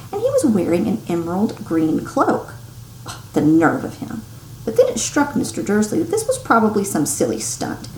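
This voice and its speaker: A woman reads a children's story aloud expressively, like a teacher, with dramatic pauses, strong emphasis and hushed turns for suspense. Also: on the low side. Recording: roomy echo, some background noise.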